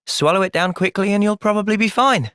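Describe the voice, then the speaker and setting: A man with a British accent playing a thoughtful, gentle young soldier in video game cutscenes, measured and earnest. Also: high voice, dry recording, studio quality. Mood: calm, earnest.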